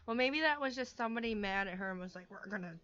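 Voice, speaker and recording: A woman's voice: mid-range voice, expressive, fast talker, a bit bright, dull audio. A woman co-hosting a casual chat show, laid-back, often answering with short agreeing words. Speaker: podcast co-host.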